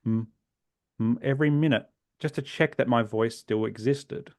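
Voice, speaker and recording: An Australian man in his forties, a guest in a podcast interview, telling his own story earnestly and reflectively, often trailing off softly at the ends of phrases. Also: medium pitch, moderate pace, no room sound, clean studio sound. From South Australia. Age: 40s.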